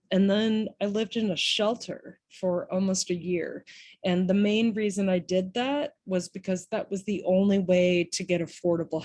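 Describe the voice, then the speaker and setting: A woman giving public comment at a town meeting, earnest and halting, with many pauses and restarts. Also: medium pitch, a little mellow, dry recording.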